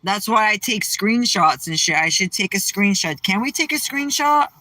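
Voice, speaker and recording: A woman's voice: animated, moderate pace, sharp tone, dry recording, rough recording. Blunt, sassy woman streamer. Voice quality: strong, low-set voice that projects. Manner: blunt, sassy, talks back to chat. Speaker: livestreamer. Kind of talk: casual livestream chat.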